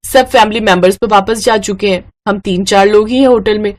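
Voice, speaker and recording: A woman's voice: medium pitch, a little fast, darkish tone, natural voice. A woman voicing a young, dutiful and firm daughter-in-law in a cartoon story, earnest and emphatic. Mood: friendly. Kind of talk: Hindi moral-story cartoon acting.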